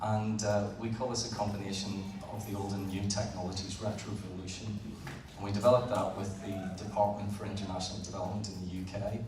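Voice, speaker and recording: A Northern Irish man presents to an audience in a large hall, with a low, flat voice that hardly rises or falls. He sounds far from the mic, and the room's echo and background noise come through clearly. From Northern Ireland. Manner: plain, a little halting, with frequent "uh" and repeated words. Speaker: engineer presenting at an event. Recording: roomy, distant event recording with background noise.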